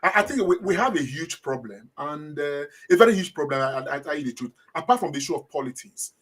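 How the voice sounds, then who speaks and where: A man's voice: fairly high pitch, expressive, quick pace, a bit bright, slightly rough. A middle-aged man with a Nigerian accent, answering interview questions in a hesitant way, repeating words and saying uh often. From Edo State, Nigeria. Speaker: guest.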